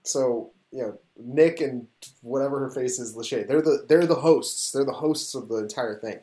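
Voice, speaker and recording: A man in casual chat, retelling a show in an animated, rambling, excitable way. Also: mid-range voice, moderate pace. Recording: echoey room.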